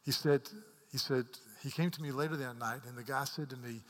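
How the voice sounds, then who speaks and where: A man preaching a sermon as a pastor, earnest, repeating words as he rises to emphatic peaks and drops to hushed asides. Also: mid-range voice, steady tone, brisk. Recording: long hall reverb.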